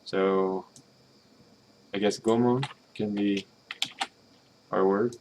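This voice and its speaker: A man's voice: lowish voice, fairly even intonation, extremely slow, background noise. A man streaming himself as he works through a game's text, thinking aloud and trying readings in a tentative, self-correcting way. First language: English. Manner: musing, tentative, mutters Japanese readings to himself. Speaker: streamer translating a game. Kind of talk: casual thinking-aloud commentary.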